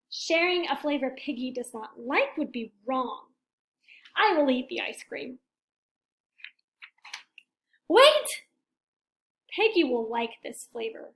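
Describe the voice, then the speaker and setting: A woman voicing an excitable, agonizing male elephant in a storybook, with a dramatic, drawn-out delivery. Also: high voice, full character voice. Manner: dramatic, drawn-out, wavering between glee and worry. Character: excitable, agonizing male elephant who loves ice cream.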